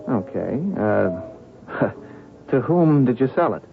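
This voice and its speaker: A needling newsman, hesitant and concerned. His creaky voice rises and falls a great deal. The broadcast is thin and tinny, with faint music. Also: mid-range voice, very animated, slow, exaggerated voice. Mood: incredulous. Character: wry male reporter, uneasy and concerned. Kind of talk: radio drama acting. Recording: tinny phone-like old radio broadcast, music behind.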